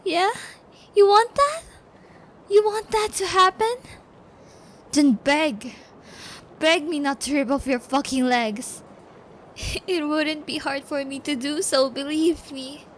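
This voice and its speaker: A woman's voice: sits high, slight background noise. A woman playing a sadistic, possessive psychopath who keeps a captive pet, taunting and gloating in an intimate ASMR audio roleplay. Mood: gleeful menace. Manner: taunting, gloating, giggly, drawn-out threats.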